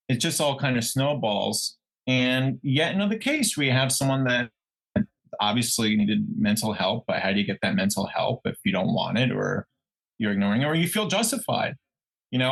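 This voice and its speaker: A man's voice: mid-range voice, gravelly, fairly bright tone, rough recording. Male podcast co-host, mid-range voice, conversational and reflective, feeling his way through each point. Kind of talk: casual true crime discussion.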